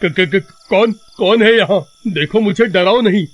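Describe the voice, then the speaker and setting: A man's voice: high-pitched, unhurried, lo-fi sound, background noise. A man playing a panicky, cowardly villager in a cartoon horror story, stammering, whimpering and pleading melodramatically. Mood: frightened. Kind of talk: animated horror-comedy story acting.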